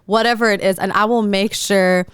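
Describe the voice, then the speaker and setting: A woman's voice: medium pitch, fairly even intonation, moderate pace, a bit bright, clean tone, no echo, studio quality. A woman hosting a casual food-and-talk podcast, bubbly and slangy, laughing often. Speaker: podcast host. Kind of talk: casual chat.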